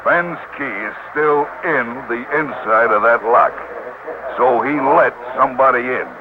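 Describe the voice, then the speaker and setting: Male actor as hard-nosed lieutenant, American, blunt, sarcastic, vintage radio play, narrow phone-like band, clear hiss. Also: medium pitch, a little slow, natural voice. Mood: blunt, insistent. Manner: blunt, needling. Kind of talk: 1950s radio acting. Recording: noisy old radio recording, narrow band.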